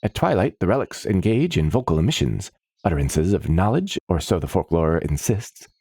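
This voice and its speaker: A man's voice: low-pitched, sing-song, normal speed, warm tone, husky, dry recording, clean studio sound, exaggerated voice. A man voicing an eager, long-winded woman scientist in a fantasy story, with precise, pedantic wording. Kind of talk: fantasy audiobook character.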